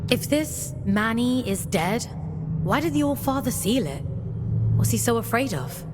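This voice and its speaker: An actress playing a dry, inquisitive, steadfast prisoner scout in a naturalistic video game style. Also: female voice, a little high, sing-song, measured pace, heavy background noise. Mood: curious. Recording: voice under loud music.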